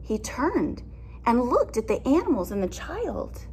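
A woman's voice: fairly high pitch, huge pitch swings, measured pace, darkish tone. A woman in her thirties, a teacher reading a picture book aloud to young children, expressively and with simple explanations and questions. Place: indoor classroom with alphabet wall displays. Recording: clear background noise.

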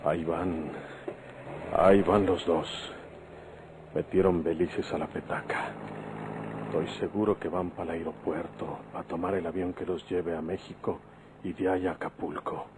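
A man's voice: deep voice, flat delivery, normal speed, background noise, close to an everyday voice. An actor playing a vengeful rustic Mexican bandit father in an old radio drama, scheming and defiant, muttering in country speech. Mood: watchful, bitter.

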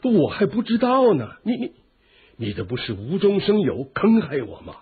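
An actor playing a timid, lecherous royal uncle in a radio drama, fretful, whining and easily alarmed. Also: male voice, high voice, wildly swooping pitch, moderate pace, slight background noise. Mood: alarmed, pleading. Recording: muffled, tinny phone-like radio drama recording.

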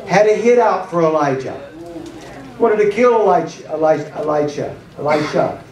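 A middle-aged man preaching a sermon as pastor, animated and gesturing as he moves about a church stage with a handheld mic. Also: high-pitched. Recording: roomy hall sound from a distant camera.

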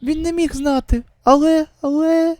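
A woman acting a high-strung, emotional young survivor in a manga dub. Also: steady tone, measured pace, dark tone, no room sound, studio quality. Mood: anguished.

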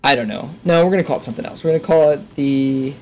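A man's voice: a little high, fast, a little mellow. A man giving casual, offhand gameplay commentary, musing aloud to himself into a headset mic. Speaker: gaming let's player. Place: indoors at a desk.

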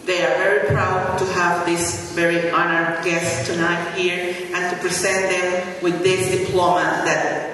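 Guy interpreting live, high bright voice, halting with restarts, far off in a very echoey hall. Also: flat delivery, slight background noise. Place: large hall with audience.

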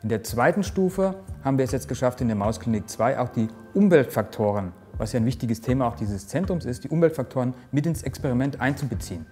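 A man in his forties speaks as a research director, explaining his work earnestly with the odd filler and restart. His voice sits at a middle pitch with a mellow tone. He is recorded on a lapel mic in an office, with faint music underneath. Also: expressive, fast, few pauses. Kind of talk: interview statement. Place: office with microscope, indoors.

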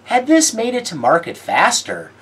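A woman's voice: fairly high pitch, huge pitch swings, moderate pace, very noisy. A woman host giving scripted, wry, sardonic commentary as a horror film reviewer. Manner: wry, sardonic, theatrical delivery. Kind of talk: scripted video-essay commentary.

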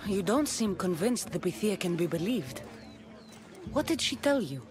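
A woman's voice: mid-range voice, big pitch swings, moderate pace, music in the background, close to an everyday voice. An actress playing a tough, defiant young mercenary woman, blunt and assertive, in a video game cutscene. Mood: wry, blunt.

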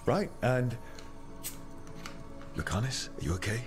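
A man's voice: medium pitch, big pitch swings, measured pace, over music. A male actor playing a friendly, easygoing adventurer in a video game.

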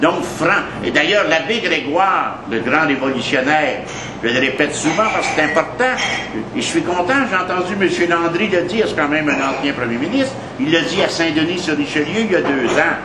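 Male lecturer, Quebec French accent, high voice, emphatic conversational delivery, noisy café room. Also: animated, moderate pace. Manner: emphatic, conversational, repeats points for weight. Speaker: history lecturer. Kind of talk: informal history talk to a café audience. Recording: echoey café, noisy background.

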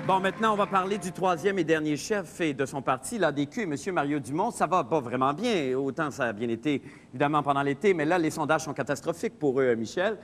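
A man's voice: on the high side, animated, brisk, hardly any pauses, big-room sound, heavy background noise. A man hosting a TV comedy show, playfully prompting his guest. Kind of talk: comic TV hosting. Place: TV studio set dressed as a living room.

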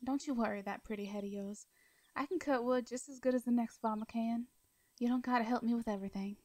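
A woman playing a caring, protective young female farmer in a roleplay audio drama, with a Southern US accent and a soft, hesitant, folksy way of talking. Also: on the high side, fast, dry recording, natural voice. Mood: warm, easygoing.

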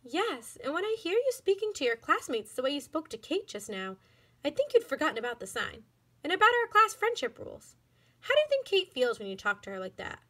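A firm but kind storybook schoolteacher, read aloud by a woman. She speaks gravely and instructively in a high, bright voice. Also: very animated. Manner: measured, stern, instructive.

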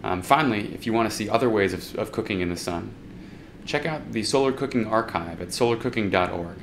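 A man's voice: on the low side, animated, brisk, gravelly, a little hiss or hum. Young man explaining in a classroom. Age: young adult. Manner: casual, with fillers and restarts. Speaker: instructor. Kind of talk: instructional talk to camera. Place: indoor classroom.

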